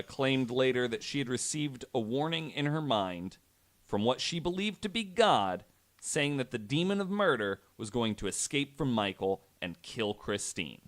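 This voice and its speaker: A man's voice: medium pitch, a bit bright. A man hosting a podcast, telling a true story in a conversational way with wry, incredulous asides. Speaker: podcast host.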